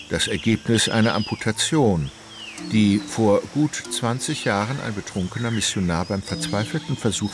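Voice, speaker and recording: A man's voice: fairly low pitch, background noise. A man giving scripted literary narration for a radio play in a composed, precise, formal manner.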